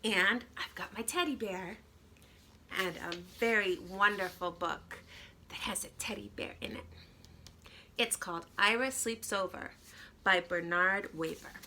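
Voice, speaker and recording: A woman reading a children's story aloud in an expressive teacher's style, addressing young listeners. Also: mid-range voice, unhurried, slight background noise.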